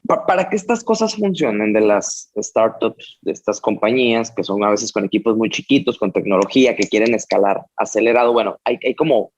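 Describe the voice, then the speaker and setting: A man's voice: lowish voice, animated. A man hosting an interview, eagerly piling up questions for his guest. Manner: eager, piles up rapid questions. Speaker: interview host. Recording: muffled lo-fi sound.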